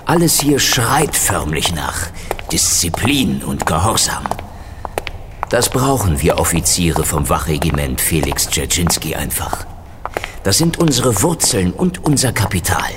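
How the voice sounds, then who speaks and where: An actor playing a proud, ruthless East German ex-major general in an audio drama, boastful and formal with military self-assurance. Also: male voice, low-pitched, very animated, a little slow, noisy background. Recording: music playing behind the voice.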